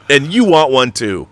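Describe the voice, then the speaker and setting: Bright, forceful man hosting a casual show. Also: medium pitch. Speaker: talk show host. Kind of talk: casual chat with promotional pitches.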